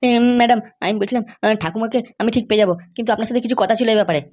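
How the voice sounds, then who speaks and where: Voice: medium pitch, darkish tone, natural voice. A performer voicing an anxious young woman in a cartoon comedy, pleading and insistent. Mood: hesitant. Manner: pleading, insistent, halting starts. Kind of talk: amateur cartoon comedy dialogue.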